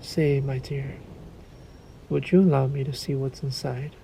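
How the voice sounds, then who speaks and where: A man performs a courtly, sadistic vampire lord for a roleplay audio drama. His voice is creaky and low-lit, held to a very even, restrained melody. He toys with the listener in polite, silky menace. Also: a little hiss or hum, rough recording. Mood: coaxing, insistent. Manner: suave, menacing, drawn-out formal politeness.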